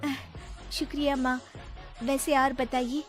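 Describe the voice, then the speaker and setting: A woman's voice: high voice, level tone, a little slow, soft background music. A woman voicing a dutiful, earnest young married daughter in a cartoon moral story, speaking in a placating manner. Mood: hesitant.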